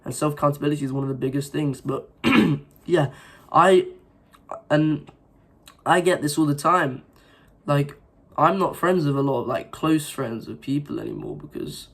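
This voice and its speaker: A young man with a sore throat from recent illness, giving an earnest, rambling motivational talk to his viewers with many fillers and restarts. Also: fairly high pitch. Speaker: self-improvement vlogger. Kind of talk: casual motivational talk to camera.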